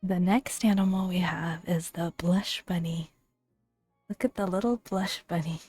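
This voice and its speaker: Soft breathy woman, slow ASMR reading. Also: on the low side, fairly even intonation, dry recording, faint music behind. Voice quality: soft, breathy, often near-whispered. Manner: gentle, unhurried, with long pauses between phrases. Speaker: gaming video host. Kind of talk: soft-spoken ASMR, reading game entries aloud with casual asides.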